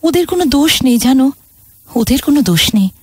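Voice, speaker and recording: A woman playing a sorrowful, wounded motherland in a Bengali audio drama, speaking theatrically and emotively with dramatic pauses. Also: high-pitched, expressive, breathy edge, no echo, clean studio sound. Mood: grieving. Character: Mother India, sorrowful wounded motherland recounting her past. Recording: dramatic music behind the voice.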